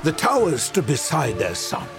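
An actor playing an ancient, grave warlock mentor in an audio drama, telling dark history in a portentous, deliberate way. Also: male voice, fairly high pitch, huge pitch swings, creaky, loud music behind the voice, heavily acted. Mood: grim.

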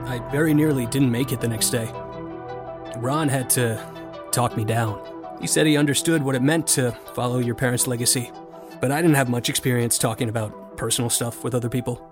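A man plays a privileged young college rower telling his own story in an audio drama. He is reflective and confiding, in a mid-pitched voice. Music plays clearly behind him. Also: fairly even intonation, fairly quick, natural voice. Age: young adult. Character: privileged young male college novice rower, confessional narrator. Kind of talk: first-person audio drama narration.

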